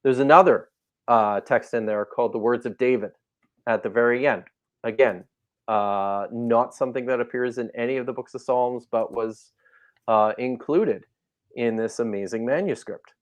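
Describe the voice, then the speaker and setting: A man's voice: mid-range voice, normal speed, dry recording. A man talking as a guest scholar in a casual interview, thoughtful and hesitant, with many fillers and restarts.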